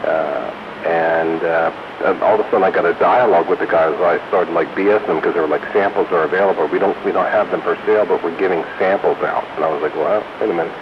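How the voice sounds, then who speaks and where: A man's voice: deep voice, fairly even intonation, fast. A man chatting casually with fellow hobbyists over a CB radio. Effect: CB radio transmission. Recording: muffled CB radio with clear hiss.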